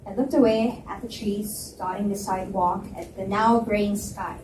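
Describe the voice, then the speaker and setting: A woman giving an expressive first-person reading of a romance novel at a live event in a library. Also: medium pitch, moderate pace, background noise. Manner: expressive narration with dialogue. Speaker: reader, first-person narrator. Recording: echoey library room, mic at a distance.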